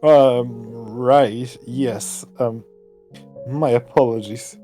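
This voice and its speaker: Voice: mid-range voice, steady tone, very slow, dark tone, faint music behind. A streamer voicing a nervous young male manservant who stammers and hesitates. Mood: apologetic.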